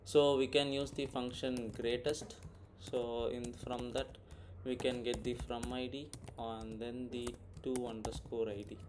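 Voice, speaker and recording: Man, mid-pitched voice, informal tutorial, thinking aloud, frequent checking tags, audible typing and background noise. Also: little pitch movement, measured pace. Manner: thinking aloud, often adding "right?" as a check. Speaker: coding tutorial presenter. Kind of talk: informal screen-recorded tutorial walkthrough. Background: computer keyboard typing, background noise.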